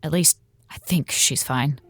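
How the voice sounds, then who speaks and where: A woman playing a stern, take-charge space station commander in an audio drama, brisk and commanding. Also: deep voice, breathy edge, no room sound, heavily acted. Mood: firm.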